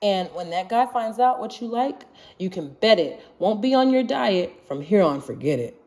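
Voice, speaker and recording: Female storybook reader, soft-edged mellow voice, highly varied intonation, expressive singsong delivery of rhyming verse for kids. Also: normal speed. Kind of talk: children's picture book read-aloud in rhyme.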